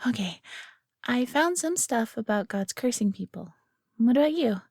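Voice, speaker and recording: A woman's voice: fairly high pitch, lively intonation, normal speed, a little mellow, no room sound. A woman playing a friendly, nervous fox companion in a fantasy audio roleplay, speaking to the listener in a hesitant, chatty way.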